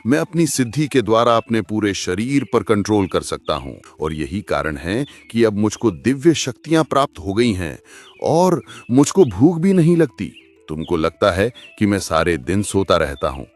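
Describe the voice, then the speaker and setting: A man's voice: on the low side, big pitch swings, normal speed. Man voicing a sly animal guru, swooping wildly. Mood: pompous, pious. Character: sly, lazy thieving animal posing as a holy guru. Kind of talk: Hindi cartoon story acting.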